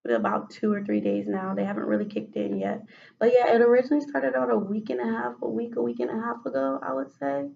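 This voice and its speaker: A woman with a raspy voice answering questions as a patient in a role-played clinical interview, hesitant with many fillers and restarts. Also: medium pitch, a little mellow, lo-fi sound. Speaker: mock patient in a role-play case study.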